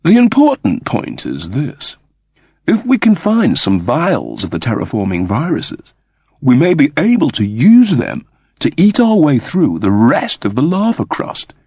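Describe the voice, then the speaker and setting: A man's voice: on the high side, wildly swooping pitch, a little slow, a little mellow, breathy edge, no room sound, voice pushed up, heavily acted. A man voicing an earnest, precise android who explains things formally, in a science fiction audiobook. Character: earnest, precise male android aboard a spaceship.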